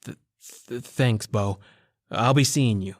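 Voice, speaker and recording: A man's voice: mid-range voice, slow pace, dry recording. Man as hard-boiled reporter, dry studio sound. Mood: uneasy. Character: determined, hard-boiled male newspaper reporter narrating his story. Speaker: voice actor. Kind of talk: old-time radio noir narration and dialogue.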